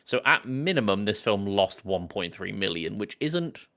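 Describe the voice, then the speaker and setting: Jokey low-pitched man chatting about films. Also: sing-song, moderate pace, a bit bright. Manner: jokey, sweary banter. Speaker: podcast co-host. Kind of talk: casual film chat.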